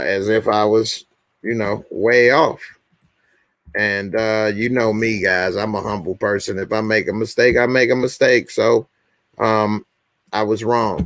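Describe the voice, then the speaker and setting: Joking man in his forties, low voice. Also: a bit bright, no room sound. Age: 40s. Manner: joking, repeats lines for emphasis. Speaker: YouTube show host. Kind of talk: casual chat to viewers.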